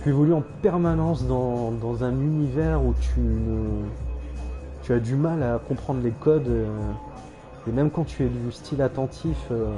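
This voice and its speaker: A man streaming a game, rambling hesitantly with many fillers and self-corrections, with dry irony. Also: mid-range voice. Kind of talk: casual rambling game commentary.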